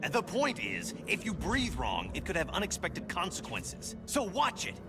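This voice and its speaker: An actor playing a serious, bossy young futuristic superhero in a video game, speaking urgently and commandingly. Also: male voice, sits high, huge pitch swings, moderate pace, full character voice. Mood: tense, insistent. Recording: loud music underneath.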